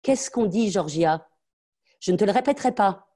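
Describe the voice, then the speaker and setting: A woman reading aloud and voicing a strict, angry mother who scolds her little daughter in a sharp, insistent tone. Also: mid-range voice, expressive, fairly quick, pitched above the performer's own voice, lightly acted. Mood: stern.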